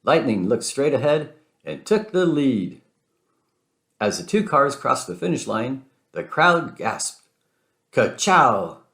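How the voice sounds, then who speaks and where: A man narrating a children's storybook aloud in an expressive read-aloud style. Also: mid-range voice, slow, gravelly. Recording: roomy, echoing sound.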